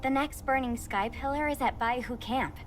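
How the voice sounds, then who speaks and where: An actress playing a helpful young woman scout in a video game, explaining things clearly and attentively. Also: high voice, moderate pace, a little hiss or hum, natural voice. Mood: focused, businesslike. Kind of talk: scripted video game dialogue.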